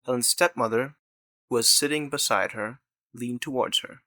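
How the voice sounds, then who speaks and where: A man's voice: medium pitch, normal speed, sharp tone, dry recording. A man reading scripted narration for an audio drama as its narrator.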